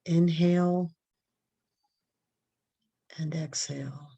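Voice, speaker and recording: A woman leading a guided relaxation meditation, giving soft, calm, drawn-out breathing instructions. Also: low voice, vocal fry, slightly rough, muffled recording.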